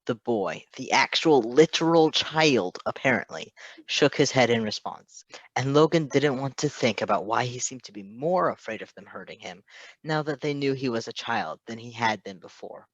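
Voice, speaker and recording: A man's voice: mid-range voice, lively intonation, moderate pace, no room sound, highs cut off. Man narrating fiction, close dry sound. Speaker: podfic reader.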